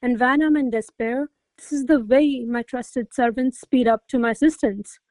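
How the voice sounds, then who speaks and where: Woman acting a haughty, high-voiced, furious noblewoman. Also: fairly even intonation, moderate pace, a little mellow, dry recording, natural voice, low-quality recording. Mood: angry, impatient. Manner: haughty, commanding, theatrical exclamations. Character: imperious, hot-tempered noblewoman scolding her servants. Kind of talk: radio play acting of a classic comedy.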